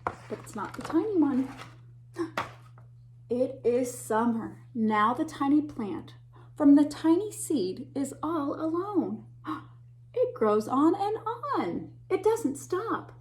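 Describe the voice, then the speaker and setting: A woman teacher reading a picture book aloud to children, pausing to point out the pictures and dropping to a whisper or a gasp at tense moments. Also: high voice, slight background noise. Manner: animated, gasps and whispers for suspense, pauses to point out pictures. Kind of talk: expressive read-aloud storytime.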